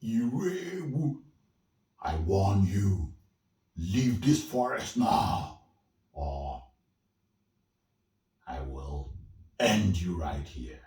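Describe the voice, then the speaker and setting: A menacing forest spirit from a folktale, acted out by a male storyteller, booming and mocking in an echoey space. The delivery is slow and threatening, with big swings in pitch and a boastful, taunting edge. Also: sing-song, warm tone, heavily acted. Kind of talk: theatrical oral folktale character voice. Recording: echoey room, distant from the mic.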